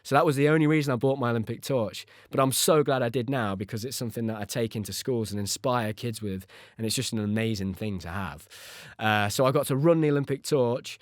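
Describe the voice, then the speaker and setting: A man's voice: medium pitch, a little fast, dry recording, clean studio sound. An English man in his thirties telling a personal story on a podcast in an earnest, conversational way. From United Kingdom. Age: 30s. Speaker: podcast host and former gymnast.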